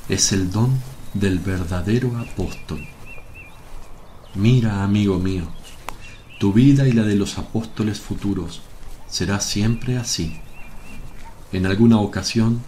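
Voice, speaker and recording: A male actor plays a serene, fatherly teacher in a religious radio play. His voice is low and very unhurried, solemn and reassuring. There is faint noise behind him. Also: a little mellow. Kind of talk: religious radio drama acting.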